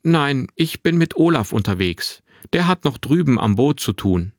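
A man voicing a confident, carefree boy of about twelve in a narrated story, with a clear, bright tone and a forthright manner. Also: medium pitch, big pitch swings, normal speed, no room sound, studio quality, pitched above the performer's own voice. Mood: frank, curious. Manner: forthright, unembarrassed, boyishly matter-of-fact. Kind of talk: acted dialogue in a narrated story.

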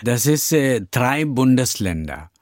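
An older man from India with an Indian accent, Tamil as his first language, answering interview questions as a pastor in halting, broken German full of fillers. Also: medium pitch, very animated, a little mellow, no echo. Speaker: pastor and church founder.

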